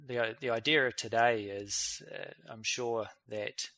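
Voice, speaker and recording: Gravelly New man hosting. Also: medium pitch, sharp tone, no echo. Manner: halting, many fillers and restarts. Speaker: podcast host and vet. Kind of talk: casual interview chat.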